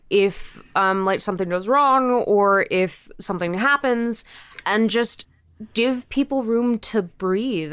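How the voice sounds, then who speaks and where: A woman's voice: mid-range voice, fairly bright tone, clean tone, dry recording. A woman speaking casually and frankly as a guest creator in a podcast interview.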